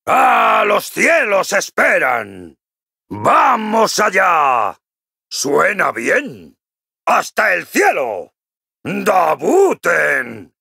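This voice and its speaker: A man with a Castilian accent from Spain voicing a boisterous, cocky, jovial dwarf warrior for a video game, gruff and raspy, hearty and full of exclamations. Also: high-pitched, huge pitch swings, very slow, bright, forceful tone, no room sound, raised voice, clean studio sound, heavily acted. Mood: rousing battle cries. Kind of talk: video game character voice lines.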